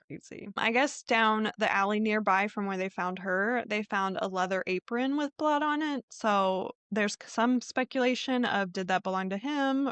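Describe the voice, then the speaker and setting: A woman's voice: medium pitch, a bit bright, dry recording. A woman hosting a podcast, telling true crime stories in an informal, conversational way with a hedging manner. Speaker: podcast host.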